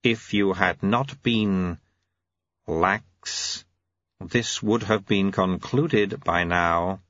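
A man voicing a cold, imperious, inhuman male official who speaks in deliberate, formal, pedantic phrases, in an audiobook. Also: deep voice, a bit bright, no room sound, dull audio, heavily acted. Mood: disapproving.

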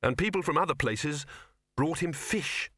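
A man's voice: a little high, a little slow, sharp tone, no room sound, clean studio sound, voice pushed up. A man voicing an awkward, eager young man who speaks hesitantly and earnestly.

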